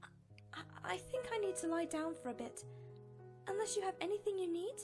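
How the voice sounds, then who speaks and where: A woman playing a gentle, grieving maid pony in a cartoon, dutiful and guilt-ridden, speaking in a sorrowful, halting way. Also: extremely high pitch. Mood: grieving, guilty. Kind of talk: animated cartoon acting. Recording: faint music behind the voice.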